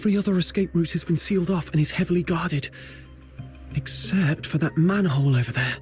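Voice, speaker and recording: A man playing a cautious, protective young fugitive in a video game, with a husky, hushed and urgent delivery. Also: a little high, brisk, soft background music, lightly acted. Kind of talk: scripted video game acting.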